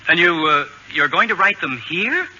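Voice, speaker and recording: An actor playing an easygoing, good-humored husband and lawyer in an old radio comedy. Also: male voice, fairly high pitch, expressive, moderate pace, pushed and bright, slight background noise. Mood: casual, good-humored. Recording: muffled old radio broadcast.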